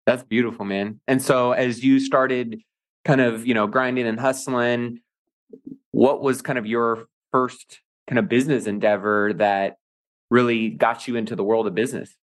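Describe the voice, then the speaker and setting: A man at mid pitch hosts a podcast and asks his guest questions in a relaxed, casual way. He chimes in often with encouraging remarks. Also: moderate pace. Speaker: podcast host. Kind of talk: casual interview.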